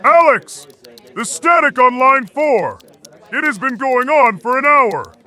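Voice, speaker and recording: An actor as a jittery young man working in a call center, from an audio drama. His voice is sharp and swings widely in pitch. He talks in urgent, insistent bursts, suspicious of everything. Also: expressive, slow pace, a little hiss or hum, exaggerated voice. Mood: panicked insistence. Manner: urgent, short insistent phrases. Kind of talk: scripted audio drama acting.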